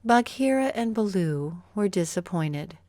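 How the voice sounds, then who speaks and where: Female storybook narrator, lowish voice, unhurried pace, expressive careful phrasing, dry close sound. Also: no room sound. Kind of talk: scripted children's bedtime story narration.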